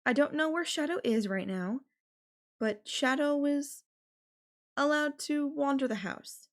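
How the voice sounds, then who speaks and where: A woman voice actor playing an obsessive, possessive girlfriend in an intimate audio roleplay, with a coy, casual, drawn-out way of talking. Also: high-pitched. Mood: coaxing, casual. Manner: coy, drawn-out, casual filler-laden phrasing with giggles and sighs. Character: obsessive, possessive yandere girlfriend, playfully menacing kidnapper.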